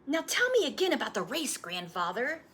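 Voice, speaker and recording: A woman's voice: sits high, wildly swooping pitch, fairly quick, a bit bright, voice pushed up, exaggerated voice. A woman voicing an eager, proud young boy with breathless, earnest emphasis in a storybook read-aloud. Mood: excited.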